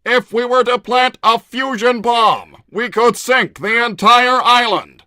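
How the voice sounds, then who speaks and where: A man's voice: extremely high pitch, slow, sharp tone, slight background noise, full character voice. A man voicing a cold, calculating, war-hungry cartoon robot with a stiff, formal, emotionless delivery. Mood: coldly warlike. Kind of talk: improvised in-character conversation.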